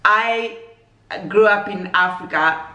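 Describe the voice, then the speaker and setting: Slow-talking woman in an echoey room. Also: medium pitch. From Zambia. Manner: relaxed, laughs often, measured delivery. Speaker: vlogger. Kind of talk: casual chat. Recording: echoey room, mic at a distance.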